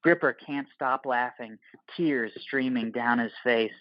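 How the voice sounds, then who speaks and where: Male narrator, mid-pitched, scripted drama reading, very dry and slightly dull recording. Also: unhurried, dry recording. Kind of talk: scripted audio play narration.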